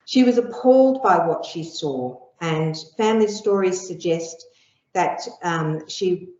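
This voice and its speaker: Middle-aged woman lecturer, low voice, measured, explanatory delivery, echoey room. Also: a little mellow. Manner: measured, explanatory, with frequent ums. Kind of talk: academic lecture with slides. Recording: echoey room, dull lo-fi sound.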